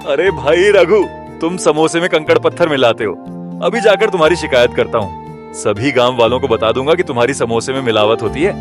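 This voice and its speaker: Narrator doing a raised-voice indignant uncle, fast, music behind. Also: male voice, medium pitch, lively intonation, pitched above the performer's own voice, close to an everyday voice. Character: concerned middle-aged village uncle bystander.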